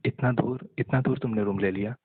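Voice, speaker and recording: A young man speaks in a low, husky voice with very little melody. He sounds halting and resigned, as in a private conversation over a narrow phone line. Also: fairly even intonation. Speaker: caller talking with an ex-partner. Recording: muffled narrow-band phone call, echoey.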